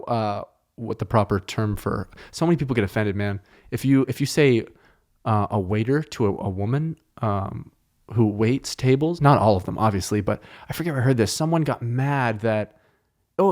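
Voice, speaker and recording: Joking, profane podcast host rambling. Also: male voice, medium pitch. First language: French. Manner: joking, profane, meandering storytelling. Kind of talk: casual solo podcast rambling. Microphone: close studio mic.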